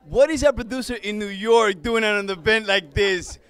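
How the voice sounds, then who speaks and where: A man's voice: sits high, measured pace, a bit bright. A middle-aged man hosting red-carpet interviews in a playful, joking way on a handheld microphone. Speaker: TV host interviewing guests. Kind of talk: red-carpet interview, switching English and Spanish. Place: indoor event lobby.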